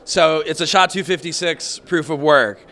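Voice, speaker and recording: A man interviewing a guest as a friendly skeptic, talking fast and swearing casually. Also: a little high, sharp tone. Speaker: interviewer, startup founder.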